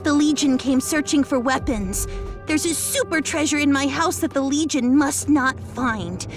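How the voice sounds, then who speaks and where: A woman voicing a timid, gentle rabbit botanist in a video game, plaintive and hesitant. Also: high voice, normal speed, over music. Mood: earnest, grateful. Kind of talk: video game cutscene acting.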